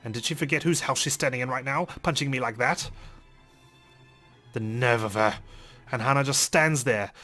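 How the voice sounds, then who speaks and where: A man's voice: mid-range voice, normal speed, bright, forceful tone, soft background music. A man hosting a let's play, reading a game's narration aloud with some drama.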